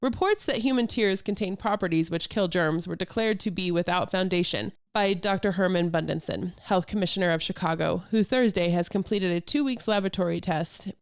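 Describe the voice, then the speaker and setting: A woman's voice: on the low side, brisk, hardly any pauses, clear, resonant tone. A woman hosting a casual podcast, telling stories from old news items in a chatty way with amused asides. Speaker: podcast host.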